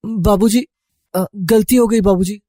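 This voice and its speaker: Casting: man voicing timid, dutiful young male student for story dialogue, higher voice, mellow, dry close recording. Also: steady tone, a little slow, no room sound. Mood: guilty.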